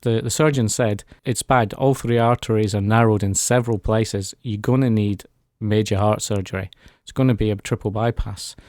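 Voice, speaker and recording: A man's voice: lowish voice, steady tone, normal speed, darkish tone, dry recording, clean studio sound. A man, telling his personal story as a guest in a radio interview. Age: 40s. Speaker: interview guest giving a testimony. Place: radio studio.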